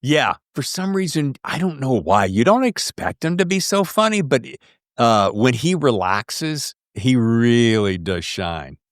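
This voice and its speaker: A man's voice: medium pitch, sing-song, unhurried, dry recording, clean studio sound. A middle-aged American man hosting a podcast in a casual, slangy, effusive way. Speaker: podcast host. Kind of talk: casual podcast interview chat.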